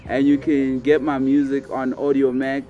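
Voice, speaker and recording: A young man from South Africa, a rapper, talking in a relaxed, casual interview outdoors on a lawn, recorded by a camera close by. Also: mid-range voice, moderate pace, highs cut off. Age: young adult. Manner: relaxed and easygoing, with fillers and restarts. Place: outdoors on a lawn by a brick building.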